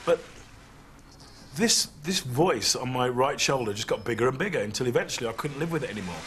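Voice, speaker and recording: A man's voice: mid-range voice, animated, a little fast, heavy background noise. A man in an interview, recalling the past and slipping into quoted voices. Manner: storytelling, slips into voices. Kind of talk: reflective interview.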